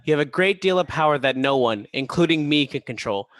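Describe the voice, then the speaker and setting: A man's voice: a little high, animated, fairly quick, fairly bright tone, no room sound. A man playing a stern father, the god of gods, formal and authoritative, in a staged play reading.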